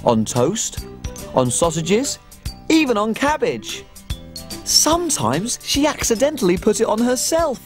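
A man's voice: high voice, sing-song, measured pace, soft background music. A man playing a tiny, cheerful cook who tells a children's storybook tale in a bouncy, sing-song way. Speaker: children's TV presenter.

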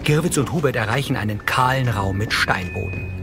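A man reading scripted audio description in a measured, matter-of-fact way. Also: medium pitch. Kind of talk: scripted narration, present-tense audio description. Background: music.

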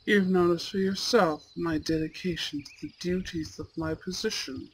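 A woman voicing a proud, imperious young male student in a roleplay audio drama, with haughty, formal and deliberate diction. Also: lowish voice, slight background noise, natural voice, rough recording. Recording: steady outdoor bird and insect ambience. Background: birdsong and insects.

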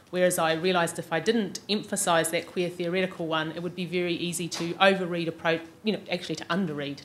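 A middle-aged woman with an Australasian accent answering questions as an academic speaker, thinking aloud and qualifying as she goes. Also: lowish voice. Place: large university lecture room. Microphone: lectern microphone. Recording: clearly noisy lecture room recording.

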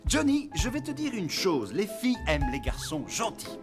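A man voicing a kindly, playful father in a children's cartoon, gently teasing as he talks. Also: sits high, huge pitch swings, normal speed, full character voice. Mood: amused, gentle. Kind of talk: dubbed children's cartoon acting. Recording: loud music behind the voice.

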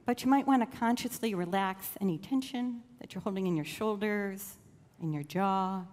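A woman leading a guided meditation in a slow, gentle, soothing way with long pauses. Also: medium pitch. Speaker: church meditation leader. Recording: long reverb of a large room.

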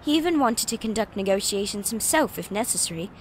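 A woman's voice: mid-range voice, lively intonation, fast, a little hiss or hum, close to an everyday voice, poor audio. An actress playing an eager, nervous young female reporter in an audio drama, chatty and hesitant. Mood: earnest. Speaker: voice actor.

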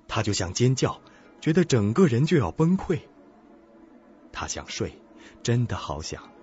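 A man with a very low voice narrates a suspense radio drama. His delivery is dramatic and ominous, like scripted storytelling. The sound is a little dull, with faint music behind him. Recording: dull lo-fi recording with music bed.

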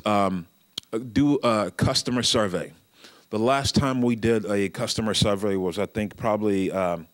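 A man's voice: fairly low pitch, no echo. A middle-aged man from Nigeria giving a conversational keynote speech in a conference room on a handheld mic, with many fillers, restarts and joking asides. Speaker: keynote speaker, state transportation official. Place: large conference room with seated audience. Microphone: handheld microphone.

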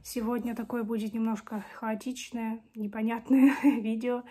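A woman's voice: on the high side, flat delivery, moderate pace, background noise. A woman in her twenties chatting casually to camera as a book vlogger, her voice strained by a cold and sore throat. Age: 20s. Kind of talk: casual chat to camera about books. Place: small home room with bookshelves.

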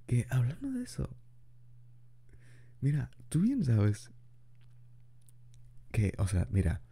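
Young guy doing boyfriend asmr, super dark gravelly voice, chatty and giggly, swings up and down a lot. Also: medium pitch, huge pitch swings, slow. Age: young adult. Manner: rambling, chatty, laughing often. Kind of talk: improvised ASMR boyfriend roleplay.

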